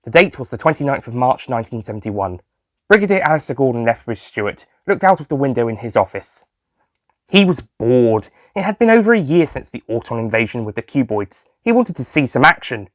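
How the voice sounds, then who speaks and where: A man's voice: mid-range voice, sing-song, fairly quick, no room sound, clean studio sound. A man reading scripted story narration as the narrator of an audio tale. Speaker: author and narrator.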